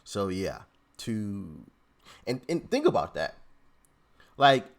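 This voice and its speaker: A man's voice: lowish voice, big pitch swings, takes it slowly. A man hosting a reaction video, commenting casually and animatedly, with exclamations and restarts.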